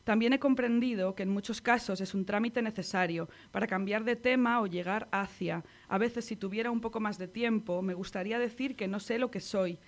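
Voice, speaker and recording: A woman with a Spanish accent from Spain gives a poetry reading in a measured, intimate tone. Her voice sits at an ordinary middle pitch for a woman. She speaks into a radio studio mic. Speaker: poet reading her work.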